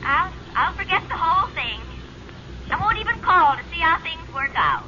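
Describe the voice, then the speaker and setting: A chatty, interfering older mother on the telephone in an old radio sitcom. Her voice is high and very animated, through a muffled line with loud noise. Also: moderate pace. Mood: nosy, concerned. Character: chatty, meddling older mother of grown daughter. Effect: telephone filter. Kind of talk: 1940s radio sitcom acting.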